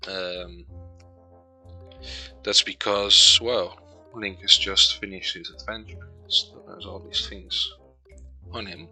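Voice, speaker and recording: A man with a Dutch accent, a native Dutch speaker, giving casual let's play commentary and reading game text aloud, halting with many hesitations. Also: low-pitched, steady tone, pushed and bright, faint music behind.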